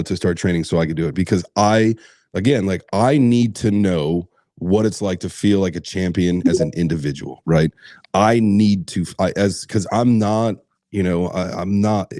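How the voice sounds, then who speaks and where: Hoarse, low-voiced man rambling. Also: dark tone, no echo, studio quality. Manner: rambling, profane, lots of fillers and restarts. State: hoarse from screaming. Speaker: host. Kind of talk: casual podcast chat.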